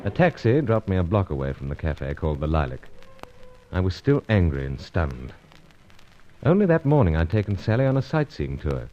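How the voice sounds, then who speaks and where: An actor playing a gallant, stubborn British secret agent in an old radio adventure serial, with clipped gentlemanly speech and a British accent. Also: male voice, low voice, sing-song, moderate pace. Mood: brooding narration. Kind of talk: old-time radio adventure serial acting and first-person narration. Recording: dull, muffled old radio recording.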